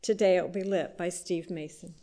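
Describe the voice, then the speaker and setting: A woman with a slightly low voice addresses a congregation as worship leader. Her delivery is scripted and liturgical. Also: steady tone, a little fast, warm tone.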